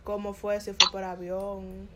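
A woman interviewer asking short, soft-spoken questions for an oral history. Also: mid-range voice, completely flat delivery, unhurried, very noisy. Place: Bronx, New York.

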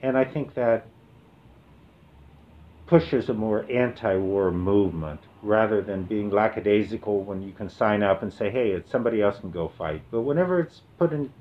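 A retired man in his seventies from the American Midwest, reminiscing slowly in an oral history interview with many pauses and fillers. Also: on the low side, dull audio. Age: 70s. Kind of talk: relaxed reminiscing with reading aloud.

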